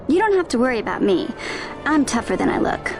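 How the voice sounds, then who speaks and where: Young princess, high and firm; game music behind. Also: female voice, very animated, fast. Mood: resolute. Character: determined young princess, proud and resolute. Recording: game cutscene with loud score.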